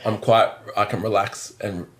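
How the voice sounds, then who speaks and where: A man's voice: fairly low pitch, fairly even intonation, moderate pace, a little hiss or hum. A man in his thirties with an Australian accent, a drummer talking as a guest in a casual interview, rambling and self-deprecating with lots of restarts. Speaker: drummer and musical director, podcast guest. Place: indoors, on a couch.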